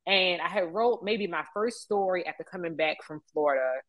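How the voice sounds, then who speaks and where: A woman in her thirties with an American accent and a low-pitched voice. She speaks as an interview guest in a conversational storytelling style. The sound is close and dry. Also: normal speed, no echo, lo-fi sound. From Baltimore, United States. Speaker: interview guest, media professional.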